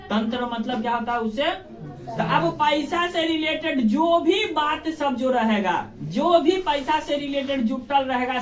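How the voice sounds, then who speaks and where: A man's voice: extremely high pitch, a bit bright. A man with a Bihari accent teaching a class in simple colloquial terms, repeating phrases and asking prompting questions. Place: echoey classroom with pupils present. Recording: echoey room, distant microphone.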